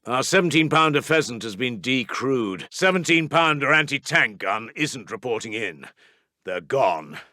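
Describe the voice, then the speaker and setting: A man's voice: medium pitch, very animated, unhurried, sharp tone, no room sound, studio quality. A man playing a grim British Second World War army intelligence officer in a strategy game, reporting in a clipped, urgent military manner with a British accent. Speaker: game voice actor.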